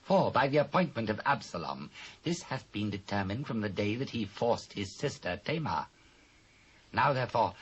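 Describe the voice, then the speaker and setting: Husky, animated British royal counsellor. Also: male voice, on the low side, full character voice, rough recording. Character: shrewd male royal counsellor reassuring his king. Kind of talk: dramatised Bible acting, formal archaic English.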